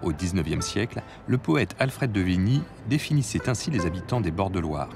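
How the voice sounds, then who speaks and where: A man reads a documentary voice-over in a measured, scripted way. His voice sits low, and music plays clearly behind him. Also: expressive. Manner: addresses the listener directly, measured. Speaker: documentary narrator.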